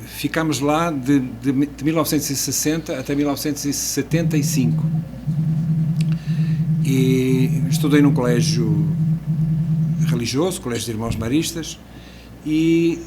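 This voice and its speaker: A man's voice: medium pitch, noisy background. A man in his sixties from Portugal with a European Portuguese accent, a podcast guest telling his life story with restarts and hesitant fillers. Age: 60s. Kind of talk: casual podcast chat.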